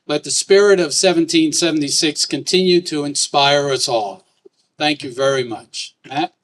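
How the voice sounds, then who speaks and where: A man's voice: a little high, fairly bright tone. A man, a county commissioner, delivering a formal prepared speech outdoors at a courthouse. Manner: formal, measured.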